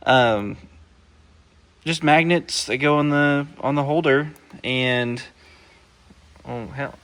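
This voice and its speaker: A relaxed man rambles through a show-and-tell of his car, leaning on fillers and letting sentences trail off. He sits inside the vehicle and talks to a camera set up in front of him. His pitch sits in the ordinary middle range for a man. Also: a little hiss or hum. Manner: rambling and loose, heavy on fillers and trailing thoughts. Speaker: vlogger showing his car setup. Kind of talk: casual show-and-tell vlog. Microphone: camera mounted in the car.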